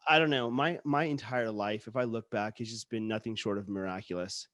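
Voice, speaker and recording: A man's voice: on the low side, a little fast, fairly bright tone, no echo, studio quality. A man who grew up in Utah, hosting a casual interview podcast, telling stories earnestly and with enthusiasm, with frequent "like" and restarts. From Utah, born in India. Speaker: podcast host.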